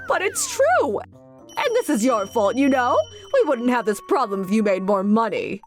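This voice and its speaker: A woman's voice: fairly high pitch, very animated, normal speed, faint music behind. A woman voicing a brazen, whiny middle-aged sister-in-law in a manga dub, defensive, snippy and demanding. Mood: accusing. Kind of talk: exaggerated manga dub acting.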